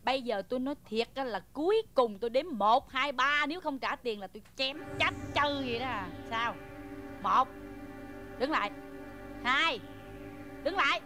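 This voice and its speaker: An actress playing a loud, quarrelsome woman in a comic sketch, scolding and exclaiming in a Southern Vietnamese accent. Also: high-pitched, expressive, normal speed, pauses often. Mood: threatening. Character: loud, bossy, quarrelsome woman threatening to chop a cheat. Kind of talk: comic stage sketch acting. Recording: music behind the voice.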